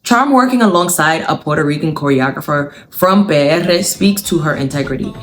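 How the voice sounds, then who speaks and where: A woman's voice: sits low, animated, moderate pace, faint music behind. A young woman, a dancer explaining choreography in a casual, slangy scripted video with punchy lists. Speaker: dancer and commentator.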